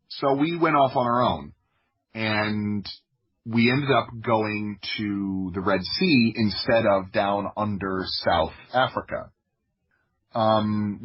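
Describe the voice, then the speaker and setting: A man's voice: fairly low pitch, slightly rough, highs cut off. An American man casually telling personal anecdotes in a wry, self-deprecating way. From Florida, United States. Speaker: podcast guest, storyteller.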